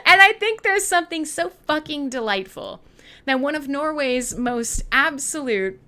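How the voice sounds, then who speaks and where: Female radio host; lively, swooping higher-pitched delivery, irreverent and sassy, swears freely. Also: unhurried. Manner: sassy, sweary, dramatic emphasis. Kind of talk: irreverent live music-show monologue.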